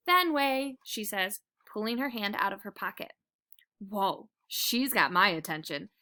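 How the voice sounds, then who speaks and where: A woman teacher reading a children's book aloud in a dramatic, expressive storytelling manner. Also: medium pitch, unhurried.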